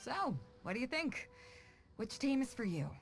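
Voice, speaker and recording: A woman's voice: on the high side, big pitch swings, a little slow, vocal fry, soft background music, full character voice. A young woman playing a bold, teasing, good-hearted female sea captain in a video game, speaking with confident, playful command. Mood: confident, instructive.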